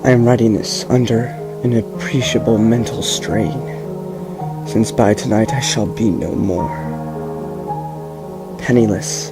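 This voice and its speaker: A young man reads a dark tale in the first person, slow and measured, tripping over a long word now and then. His voice sits low and gravelly. Loud music plays under the reading throughout. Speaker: narrator reading a story. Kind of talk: amateur audiobook reading. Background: loud background music.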